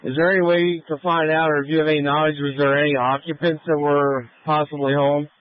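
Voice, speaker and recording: A man's fairly high voice comes through a thin, narrowband two-way radio. He is a fire operations officer giving clipped, procedural instructions in emergency radio traffic. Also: level tone, fairly quick, dry recording. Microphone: radio handset.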